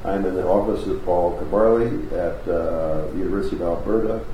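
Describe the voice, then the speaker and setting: A man's voice: sits low, brisk, mellow, reverberant, slight background noise, low-quality recording. A man conducting an oral history interview in a university office, talking with many fillers and restarts.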